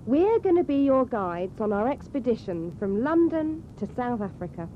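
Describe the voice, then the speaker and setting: High, lively guide; dull tape. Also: female voice, moderate pace. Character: upbeat female expedition guide, adult. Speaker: tour guide. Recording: dull cassette tape with hiss.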